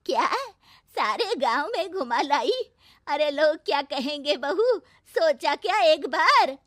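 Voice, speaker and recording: A woman voicing a stern, tradition-bound old village mother-in-law who scolds loudly. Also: sing-song, takes it slowly, no room sound, clean studio sound, heavily acted. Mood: scolding. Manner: scolding, shrill and exclamatory.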